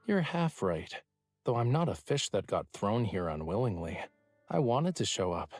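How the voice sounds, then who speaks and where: Low-voiced, teasing young lover. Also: male voice, big pitch swings, normal speed, darkish tone. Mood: playful. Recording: music behind the voice.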